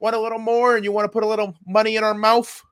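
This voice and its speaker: A man who works as a comedian, co-hosting a podcast with casual, crude joking and a stammering, sometimes loud delivery. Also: high voice, level tone, rapid-fire, clean tone, no echo, clean studio sound. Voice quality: loud, given to yelling. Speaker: stand-up comedian.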